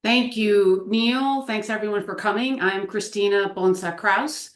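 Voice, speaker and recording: A woman's voice: mid-range voice, a little slow. A woman from Puerto Rico, a law professor and panelist, introducing herself in a wry, self-deprecating way with hesitant fillers over a video call. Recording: echoey room over a call.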